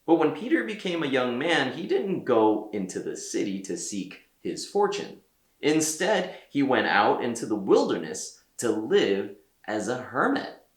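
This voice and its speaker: A man reading a children's story aloud as a storyteller, with theatrical delivery and deliberate pauses. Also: mid-range voice, big pitch swings, echoey room. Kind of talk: expressive read-aloud narration for children.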